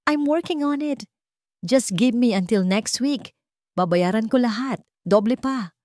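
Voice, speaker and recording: Female narrator as suave wealthy fiancé, boastful, covering desperation, raised pitch, audio romance drama, dry close sound. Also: measured pace, darkish tone, dry recording, natural voice. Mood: desperate, irritated. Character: smooth-talking rich fiancé, boastful man hiding desperation.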